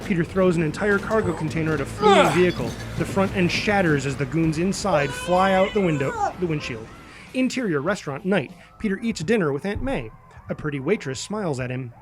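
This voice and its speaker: A man's voice: on the high side, lively intonation, a little fast, music in the background. A man narrating a screenplay, reading out scene headings and action as a scripted narrator. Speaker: narrator reading stage directions.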